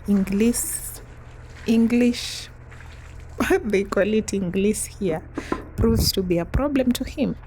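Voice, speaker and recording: A young woman from East Africa telling a chatty storytime vlog, often breaking into soft laughs. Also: medium pitch, takes it slowly, long pauses, noisy background. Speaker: vlogger.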